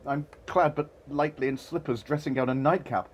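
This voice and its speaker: A man's voice: medium pitch, fairly even intonation, moderate pace, muffled recording, lightly acted. A man playing a wary, complaining old miser in an audio drama. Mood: grumbling.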